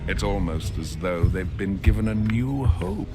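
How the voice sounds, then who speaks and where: A man's voice: on the low side, lively intonation, music in the background. An actor playing a gloating male tyrant who delights in chaos, in a video game. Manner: slow, theatrical, menacing gloating.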